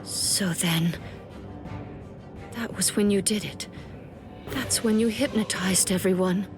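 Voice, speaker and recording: Woman as a grave, slow-spoken female captain. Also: mid-range voice, natural voice. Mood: grave realization. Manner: deliberate, serious. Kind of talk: English voice acting for anime game cutscene. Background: loud music.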